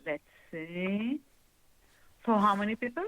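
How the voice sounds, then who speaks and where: A woman's voice: medium pitch, animated, takes it slowly, a bit bright. A woman receptionist with an Israeli accent, answering a phone call politely and patiently. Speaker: hotel receptionist. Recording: tinny phone line.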